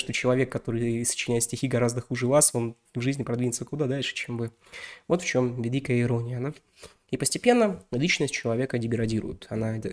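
Young male podcast host, a bit sick, fast and loose, full of fillers and restarts. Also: medium pitch. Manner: rambling, many fillers and self-corrections. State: slightly ill. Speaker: podcast host reviewing a novel. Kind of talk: casual spoken book review.

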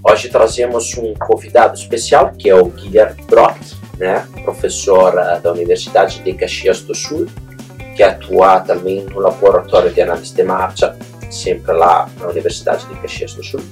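A man from Italy with an Italian accent, hosting a live webinar in a casual, halting way with frequent fillers. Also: sits low, flat delivery, faint music behind. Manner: frequent tag questions, hesitant fillers. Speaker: host.

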